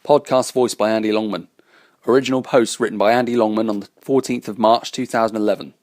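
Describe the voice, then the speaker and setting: A man reading a fan-written science fiction story aloud as its narrator, with an even, unhurried delivery. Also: on the low side, no echo, studio quality. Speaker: reader and narrator.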